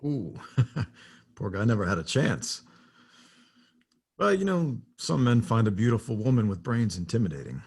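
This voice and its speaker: A man acting as a teasing, awkwardly smitten guy in romantic banter. His voice is gravelly and swings animatedly, with a casual, halting delivery. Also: medium pitch, sing-song, a little mellow, heavily acted. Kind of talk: table read of a screenplay, romantic banter.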